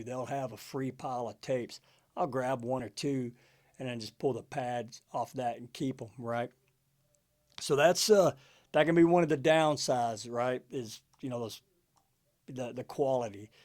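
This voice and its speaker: A man's voice: mid-range voice, a little mellow. A middle-aged man talking off the cuff to camera as a music collector, in a laid-back, conversational way with frequent check-in tags. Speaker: music collector hosting a video channel. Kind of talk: casual unscripted talk to camera.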